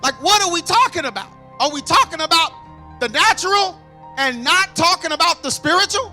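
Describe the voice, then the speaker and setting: Bright, high-pitched man preaching. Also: expressive, a little fast, soft background music. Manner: preaching with call-and-response questions. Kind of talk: sermon.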